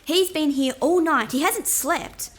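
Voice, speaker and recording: A woman playing a diplomatic palace adviser in an audio drama, patient and persuasive with a gently teasing edge. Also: high-pitched, measured pace. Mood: patient, coaxing.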